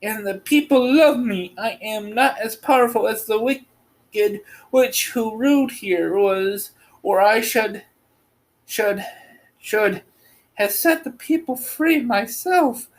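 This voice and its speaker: A woman's voice: fairly high pitch, slow pace, voice pushed up, poor audio. A woman voicing a kindly, grateful little old good witch in a storybook read-aloud. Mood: kindly, modest.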